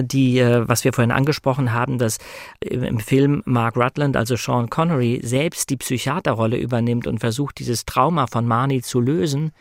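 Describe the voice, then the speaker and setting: A man's voice: medium pitch, dry recording, clean studio sound. A man talking reflectively and conversationally about film and novels, explaining his analysis as a guest in a discussion.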